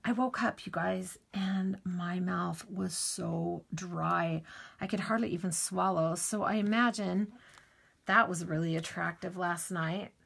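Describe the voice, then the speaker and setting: A middle-aged woman with a hoarse morning voice, chatting casually while demonstrating a craft project. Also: lowish voice, fairly even intonation, a little slow, fairly bright tone. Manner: chatty, rambling asides while working. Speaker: crafting tutorial host.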